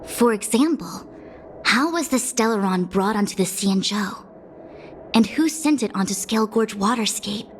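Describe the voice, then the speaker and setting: A woman's voice: medium pitch, a little hiss or hum, close to an everyday voice. Woman voicing a weary formal diviner. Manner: measured, formal and slightly exasperated. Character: composed, weary young woman diviner official. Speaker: diviner official in a game cutscene. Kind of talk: game cutscene voice acting. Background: game music.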